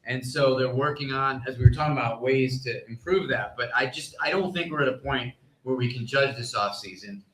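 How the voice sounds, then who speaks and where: A man speaking as a sports reporter on a live panel, careful and measured, often restarting his sentences. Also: mid-range voice, fairly bright tone, a little hiss or hum. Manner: measured, careful, halting with repeated starts. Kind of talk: live panel discussion, analysis. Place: live convention stage. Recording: echoey live convention room.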